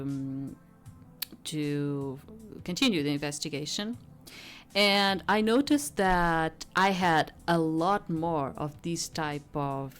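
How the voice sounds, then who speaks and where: Female streamer, low pitch, let's play commentary, rambling asides, reading aloud, background game music.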